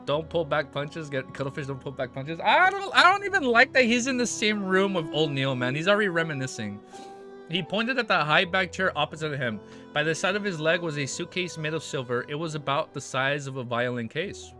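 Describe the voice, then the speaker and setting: A hoarse man with a cold, reading a novel aloud on a livestream and breaking off into excited comments. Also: on the high side, fairly quick. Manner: reads steadily, then breaks off into excited asides. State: hoarse, losing his voice from a cold. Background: background music.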